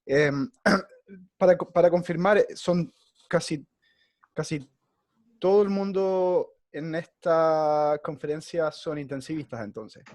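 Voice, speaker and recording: A man's voice: on the high side, takes it slowly, a little mellow, no room sound. A man with a Chilean accent, a physician guest talking semi-formally on a video call, hesitating and repeating words. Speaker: guest speaker, emergency physician.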